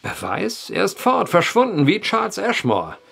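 An actor playing a brooding, eloquent storyteller at a bar in an audio drama, wordy and theatrical. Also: male voice, high-pitched, wildly swooping pitch, normal speed, vocal fry, fairly bright tone, slightly rough, exaggerated voice. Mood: brooding, insistent.

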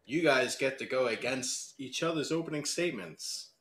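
A man's voice: mid-range voice, normal speed, fairly bright tone. A man moderating a casual debate on a video call, playful and teasing as he announces rounds. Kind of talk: hosting a casual debate show.

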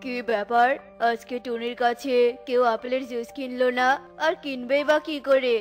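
A woman's voice: sits high, fairly even intonation, moderate pace, lightly acted. A woman voicing a sly, scheming male crow in a children's cartoon, with a theatrical, boastful delivery. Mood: gloating. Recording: faint music under the voice.

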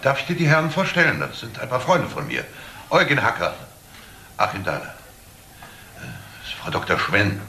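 A desperate, bitter gambler and failing father in a crime radio drama. His gruff, gravelly voice swings widely in pitch and carries a sharp edge. The delivery is agitated and theatrical. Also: huge pitch swings, normal speed, pauses often, heavily acted. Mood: defensive. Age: middle-aged. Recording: noticeable background noise, old broadcast audio.

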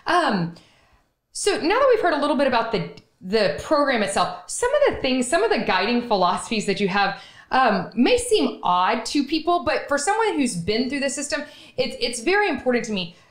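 A woman's voice: sits high, big pitch swings, moderate pace. A woman, hosting a podcast interview in an emphatic, conversational way. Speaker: podcast host. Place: small wood-panelled podcast studio, indoors. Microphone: studio microphone on boom arm. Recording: roomy studio echo.

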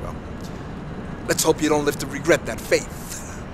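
An actor playing a stern, contemptuous probation officer who lectures with scorn in a video game cutscene. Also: male voice, fairly high pitch, steady tone, unhurried, lightly acted. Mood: scornful. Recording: clear outdoor street and vehicle noise.

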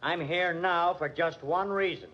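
Husky, high-pitched wisecracking American detective. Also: male voice, unhurried, bright, forceful tone, exaggerated voice. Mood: sardonic. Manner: sardonic, needling, tough-guy slang. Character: wisecracking, sarcastic hard-boiled male police detective. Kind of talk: 1940s radio drama acting. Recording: dull, band-limited old radio recording.

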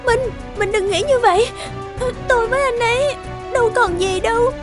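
A woman voicing a meek, ill-treated young village wife, pleading and submissive, in an animated folktale. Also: extremely high pitch, moderate pace, over music.

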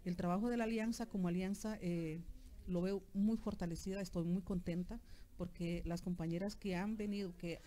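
A woman's voice: lowish voice, fairly even intonation, moderate pace, warm tone. A middle-aged woman with a Costa Rican accent answering in an interview, hesitant and repeating words as she searches for the next one. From Costa Rica. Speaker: interviewee, alliance leader. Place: outdoor patio at night. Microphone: handheld microphone held by interviewer.

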